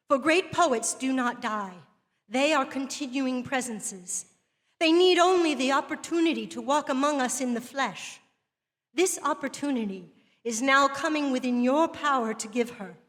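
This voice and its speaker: High-voiced, eloquent woman writer, cavernous hall. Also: fairly bright tone. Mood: impassioned, resolute. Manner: measured, literary, rhetorical delivery. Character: earnest, eloquent woman writer lecturing to women. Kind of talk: dramatic reading of a literary lecture. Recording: long hall reverb.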